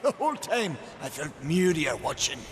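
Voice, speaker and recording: A sheepish, mischievous young villager in a fantasy video game. The voice is high and bouncy, confiding and animated, with long reverb and music behind it. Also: male voice, normal speed.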